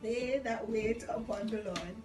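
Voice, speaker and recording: A woman's voice: fairly high pitch, a little slow. A middle-aged woman with a Caribbean accent telling a personal story in casual chat, emphatic and repeating phrases for effect. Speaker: vlog guest sharing personal testimony. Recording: roomy, distant mic, music underneath. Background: music.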